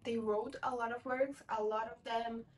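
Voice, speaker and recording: A woman's voice: on the high side, steady tone, moderate pace, far from the mic. A young woman whose first language is Portuguese, casually reviewing a book at home in a rambling, self-correcting way, from a camera set a little away. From Portuguese-speaking country. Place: home room with bookshelves.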